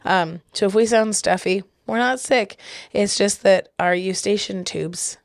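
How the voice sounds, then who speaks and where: A woman's voice: mid-range voice, unhurried, creaky, no echo, clean studio sound. A woman co-hosting a casual podcast with her partner, chatty and giggly, sounding slightly stuffy from blocked sinuses. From big city. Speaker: podcast co-host. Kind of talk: casual chat.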